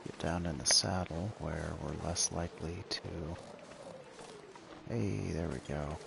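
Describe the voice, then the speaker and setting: A man streams a survival game in a very low, heavily gravelly voice. He talks in a laid-back, soothing way, as if murmuring to himself while he plays. Clear noise and game ambience sit behind his voice. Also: unhurried. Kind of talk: casual survival game commentary. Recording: clearly noisy background.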